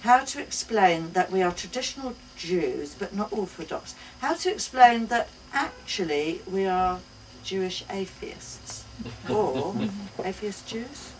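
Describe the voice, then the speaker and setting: A woman giving an expressive first-person reading of a short story at a live event. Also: medium pitch, unhurried. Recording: distant mic, faint room noise.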